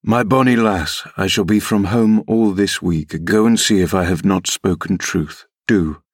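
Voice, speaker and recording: Man playing a menacing low-voiced gentleman villain. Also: unhurried, husky, no room sound, studio quality. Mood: wheedling. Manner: mocking, commanding, insinuating. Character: menacing, wheedling middle-aged gentleman villain. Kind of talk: audiobook character reading.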